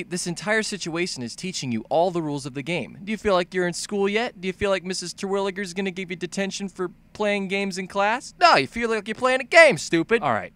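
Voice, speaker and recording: A man delivers comedic video game commentary in a sharp, fairly high voice. His pitch swings widely as he exaggerates everything. He swears freely and plays up both confusion and excitement. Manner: profane, exaggerated, bouncing between mock dumb and excited shouting. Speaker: video game commentator, fan-dub voice-over. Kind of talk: animated comedic game-review voice-over.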